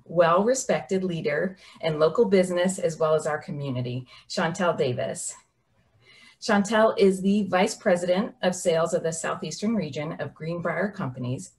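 A woman's voice: on the low side, a little mellow. A woman in her forties hosting an interview over a video call from a home office, reading prepared remarks in a polished, upbeat way. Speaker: host. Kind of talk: scripted introduction and interview.

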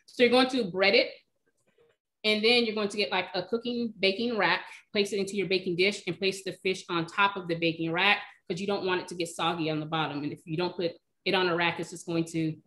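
A woman in her thirties answering cooking questions in a relaxed, chatty way on a video call from her home kitchen. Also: fairly low pitch, fairly quick. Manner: relaxed, chatty, explains by eye. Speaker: cooking demonstrator, recipe blogger. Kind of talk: casual live Q&A. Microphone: video call, webcam.

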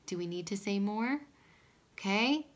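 A woman giving a casual oracle card reading in a small room at home, her voice a little scratchy from pollen, soft-spoken and sometimes dropping to a whisper. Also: mid-range voice, lively intonation, a little slow. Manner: soft-spoken, drifting into whispers, frequent "okay?". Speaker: spiritual card reader.

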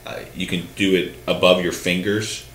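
A man's voice: sits low, flat delivery, moderate pace, fairly bright tone. A man in his thirties co-hosting a bowling talk show at a studio desk, explaining at length with lots of restarts and hand gestures. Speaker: bowling show co-host answering questions. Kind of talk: casual studio chat. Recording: roomy studio echo with faint hum.

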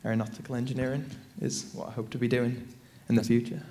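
A man's voice: medium pitch, flat delivery, quick pace, warm tone. A teenage male student from Iraq, telling a story on a conference panel into a handheld mic. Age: teens. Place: large conference stage.

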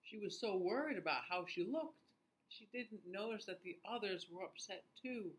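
A middle-aged man reading a picture book aloud to young children, expressively, with questions and pauses for them to answer. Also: high voice, animated, a little hiss or hum, low-quality recording. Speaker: children's class host and teacher reading aloud. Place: small indoor room.

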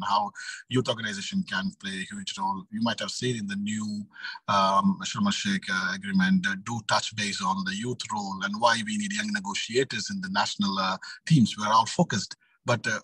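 A man in his thirties with a fairly low, husky voice gives an informal panel talk. His phrasing is halting and wanders a little. He sounds somewhat far from the mic on a video call. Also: a bit bright. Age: 30s. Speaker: youth climate organization leader. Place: small indoor room, video call. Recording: roomy sound, distant from mic.

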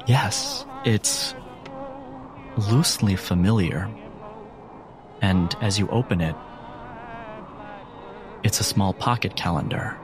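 Low, slow man narrating a horror game. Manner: deliberate, pausing between phrases. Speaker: game master. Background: music.

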